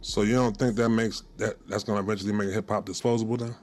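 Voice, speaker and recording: A man's voice: fairly low pitch, little pitch movement, fast talker, darkish tone. A man in his forties interviewing on a podcast, pressing his point insistently and repeating himself. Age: 40s.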